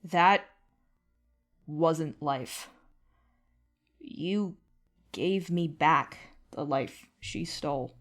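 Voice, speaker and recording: A woman playing a weary male alien helmsman in audio fiction, speaking in halting, clipped fragments. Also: deep voice. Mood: emotional, grateful. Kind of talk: scripted audio fiction dialogue.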